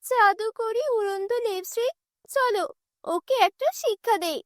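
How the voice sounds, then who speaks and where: A defiant young schoolgirl heroine, voiced by a woman for a children's cartoon. She is bold and rallying, with an extremely high voice in a dry recording. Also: measured pace, fairly bright tone, no room sound.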